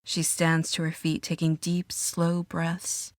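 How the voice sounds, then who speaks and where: A woman narrates a dramatic scripted story at a very unhurried pace. Her pitch sits somewhat low, and the recording is dry and close. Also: monotone, no room sound.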